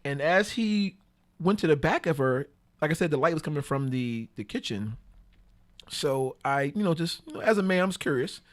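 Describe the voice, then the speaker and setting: A man tells a bawdy story in casual slang as a podcast guest. His voice sits a little high and swings widely in pitch. He is animated and voices the quotes as he goes. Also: normal speed.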